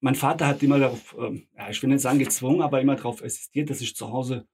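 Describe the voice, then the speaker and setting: A man's voice: medium pitch, fairly even intonation, fast talker, a little mellow, a little hiss or hum. A middle-aged man with German parents from Bosnia, a tram driver, answering interview questions casually with hesitant fillers and short agreements.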